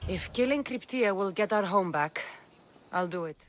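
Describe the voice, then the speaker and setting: An actress playing a determined, defiant Spartan warrior daughter in a video game, speaking directly and resolutely. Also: female voice, medium pitch, lively intonation, normal speed, fairly bright tone, in a large hall, close to an everyday voice. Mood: guarded, questioning. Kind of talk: dramatic video game acting.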